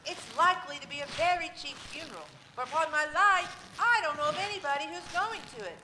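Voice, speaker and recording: A woman's voice: high-pitched, fast talker, sharp tone, rough recording. A woman playing a jolly, heartless town gossip who mocks the dead in a staged radio play. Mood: amused, callous. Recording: live stage recording, faint noise.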